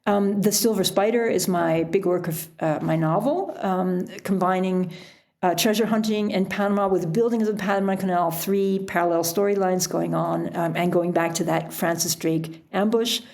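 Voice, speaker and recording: A woman guest speaks in a casual interview style. Her voice sits on the low side and has a clear gravelly fry. She tells stories with animated asides. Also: moderate pace. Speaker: podcast guest, author.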